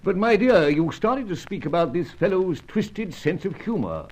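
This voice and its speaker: A husky intelligence gentleman in an old radio serial. His voice sits a little high and rises and falls animatedly. He is paternal, formal and courteous, pressing gently. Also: sing-song, normal speed. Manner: polite, avuncular, formal.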